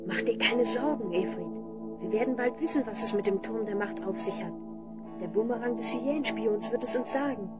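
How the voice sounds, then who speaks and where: Bossy young fantasy hero woman acting, fairly high voice, dry and resolute, radio play. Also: brisk, loud music behind the voice, close to an everyday voice. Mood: reassuring. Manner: commanding, dryly sarcastic. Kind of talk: fantasy audio drama acting.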